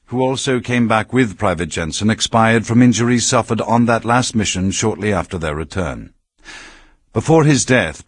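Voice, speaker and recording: An actor playing a middle-aged medical supervisor dictating grave, formal clinical reports for a video game. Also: male voice, fairly low pitch, no echo, studio quality.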